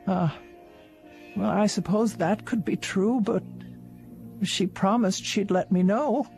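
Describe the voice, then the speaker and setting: An actress playing a solitary, anxious and insistent elderly invalid in a radio drama. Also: female voice, medium pitch, slow pace, a little mellow, soft background music. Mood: fretful, uneasy. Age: older.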